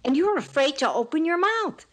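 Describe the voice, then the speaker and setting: A woman's voice: high voice, very animated, moderate pace, fairly bright tone. An older woman playing a caring, nagging mother of a grown son in old radio comedy style. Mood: insistent. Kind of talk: old-time radio sitcom acting. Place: indoors at home on a video call.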